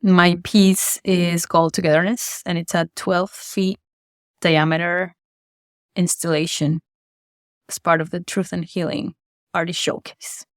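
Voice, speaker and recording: A woman's voice: fairly low pitch, level tone, unhurried, dry recording, studio quality. A woman from Argentina, an artist explaining her work thoughtfully in an interview. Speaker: installation artist.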